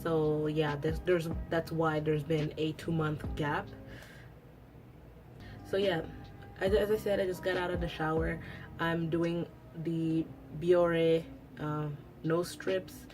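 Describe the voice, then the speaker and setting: A woman's voice: low-pitched, level tone, slow, over music, low-quality recording. A young woman whose first language is Italian, chatting casually to camera at home, rambling with lots of restarts. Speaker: beauty vlogger. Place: at home after a shower.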